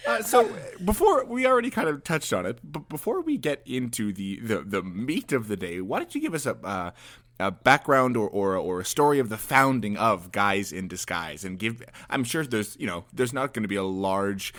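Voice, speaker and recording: A man with a Canadian accent hosting a casual chat in a jokey, halting way. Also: fairly low pitch, big pitch swings, a bit bright. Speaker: podcast host.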